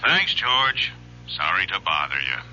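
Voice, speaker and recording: Weary homicide cop, lowish voice, terse and factual, muffled old radio drama recording. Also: male voice, very animated, unhurried, loud music behind the voice. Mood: weary, businesslike. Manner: terse, matter-of-fact. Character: world-weary, businesslike male homicide cop. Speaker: police detective.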